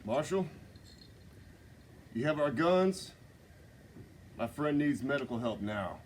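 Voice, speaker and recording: A man's voice: medium pitch, animated, slow pace, a little hiss or hum. A man reading a desperate young outlaw at a script table read, urgent and pleading. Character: desperate young male outlaw turning on his gang.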